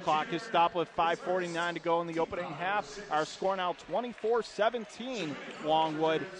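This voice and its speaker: A man calling live college basketball play-by-play on the radio with excitable, projected delivery. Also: on the high side, moderate pace, a crowd in the background. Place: courtside in an indoor college arena. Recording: loud arena noise behind the voice. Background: gym noise, bouncing basketballs.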